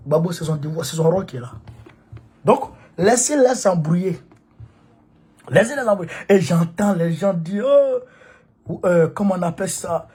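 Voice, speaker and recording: Husky man. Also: high voice, moderate pace, pauses often, mellow. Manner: emphatic, repetitive, preachy. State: has a cold. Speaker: political activist addressing supporters. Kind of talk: impassioned political monologue.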